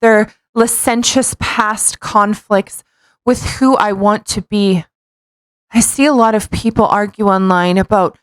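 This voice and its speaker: A woman's voice: medium pitch, smooth, no echo. A woman co-hosting a podcast, doing a casual dramatic read-aloud with playful, mocking, sweary asides. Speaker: podcast co-host.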